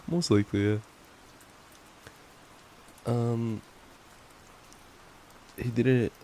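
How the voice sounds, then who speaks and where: A man's voice: mid-range voice, very animated, very slow, warm tone. A young man doing an affectionate, playful ASMR boyfriend roleplay, reading a bedtime story with stumbles and giggly asides. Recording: noisy track with ambient rain sounds. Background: rain ambience.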